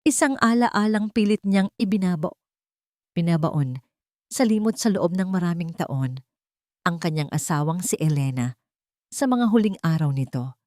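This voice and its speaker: A woman reading a dramatic scripted story as narrator, in a measured and solemn delivery. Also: lowish voice, lively intonation, warm tone, no echo, studio quality.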